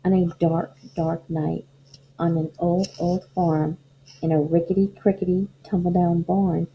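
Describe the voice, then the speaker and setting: Female primary teacher, slow and deliberate storybook delivery, slightly dull recording with faint noise. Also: lowish voice, gravelly. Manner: slow, simple, deliberate phrasing addressed to children. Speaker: elementary school teacher. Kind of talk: children's storybook read-aloud and instructions.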